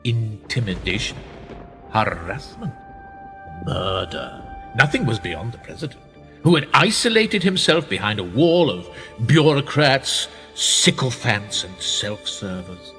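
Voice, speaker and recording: An actor in a radio play voicing a worldly, powerful establishment insider, speaking in a pedantic, suavely condescending way. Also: male voice, lowish voice, very animated, unhurried, fairly bright tone, exaggerated voice. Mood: dry storytelling. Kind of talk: radio drama dialogue. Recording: long reverberant hall, music underneath. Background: music.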